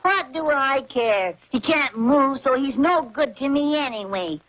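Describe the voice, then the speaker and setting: A woman's voice: high-pitched, lively intonation, unhurried. A woman voicing a sulky young boy with a grumpy, dismissive drawl, on a dull lo-fi soundtrack.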